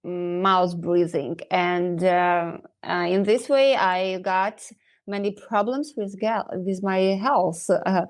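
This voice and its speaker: A woman's voice: on the low side, fairly even intonation, takes it slowly. A woman in her fifties with a Ukrainian accent, a dentist guest who hesitates and searches for English words.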